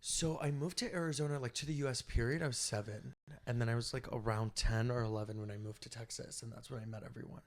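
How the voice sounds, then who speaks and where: A chatty young man from Iraq, gabbing with a friend on a podcast. He talks in an animated, gossipy rush, sprinkling "like" everywhere, at a medium pitch. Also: fast talker. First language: Arabic. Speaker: podcast guest. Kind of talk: casual chat.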